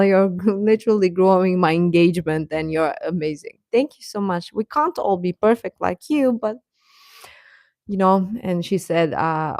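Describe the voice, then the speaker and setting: A woman chatting casually as host of a live stream, rambling and halting, with a sarcastic streak. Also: on the low side, creaky, no echo, clean studio sound. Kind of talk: casual live-stream chat about pop fandom.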